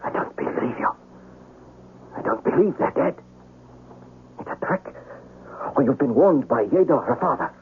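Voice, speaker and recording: An actor playing a proud, passionate young samurai in love, imperious and intense, in a radio drama. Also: male voice, mid-range voice, lively intonation, moderate pace, long pauses, a little hiss or hum, natural voice. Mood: disbelieving, anguished. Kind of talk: old-time radio drama acting. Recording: tinny band-limited old radio recording.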